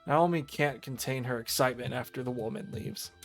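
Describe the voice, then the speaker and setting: A male streamer reads a visual novel aloud in an average-pitched voice. He narrates the text and trips over words now and then, with game music playing behind him. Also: a little fast, creaky, a bit bright. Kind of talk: narration read aloud on a livestream, with stumbles and asides.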